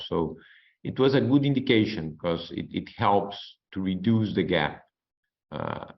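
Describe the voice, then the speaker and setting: Deep gravelly man on a muffled call. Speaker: company executive. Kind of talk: answering analyst questions on an earnings call. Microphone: conference call line. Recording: muffled conference call audio.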